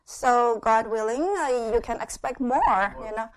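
A middle-aged woman from Indonesia shares her church testimony in short, unhurried phrases, pausing often for an interpreter, with frequent "uh" and "yeah" and light laughs. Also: fairly high pitch, fairly even intonation, low-quality recording. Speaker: guest speaker sharing her testimony.

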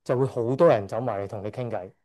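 A middle-aged man from Hong Kong speaks at a church service with a mellow, warm voice. He is hesitant, stops often to correct himself and lets out a shy chuckle now and then. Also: medium pitch, fast talker. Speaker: church member giving testimony. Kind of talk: church testimony speech.

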